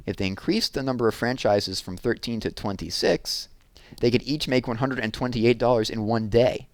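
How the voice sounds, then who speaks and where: A man's voice: mid-range voice, normal speed, no echo. A man reading a children's book aloud as a storyteller.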